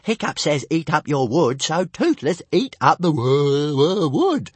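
A man's voice: on the high side, sing-song, unhurried, husky, no echo, pitched above the performer's own voice, exaggerated voice. A man voicing a small, naughty pet dragon in a storybook, with childish, whining, broken speech. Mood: cheeky, whining.

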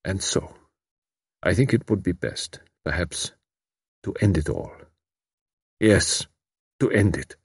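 A man's voice: medium pitch, moderate pace, dry recording, clean studio sound, voice pushed up, lightly acted. A man voicing an anguished, bitter young German refugee scientist who pleads with intensity. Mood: hushed despair.

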